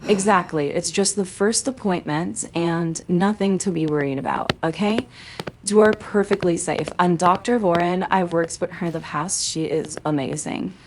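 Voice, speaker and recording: A woman's voice: lowish voice, normal speed, background noise, natural voice. A woman playing a firm, protective and reassuring mother in an amateur roleplay drama, composed and assertive. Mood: gentle, reassuring.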